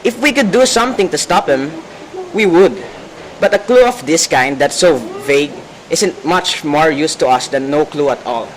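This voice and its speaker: A man's voice: a little high, expressive, normal speed, close to an everyday voice. Skeptical police desk officer played by a young man, noisy recording. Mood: dismissive. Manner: patronizing, matter-of-fact. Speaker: student actor in a school radio play. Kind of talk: amateur radio drama acting.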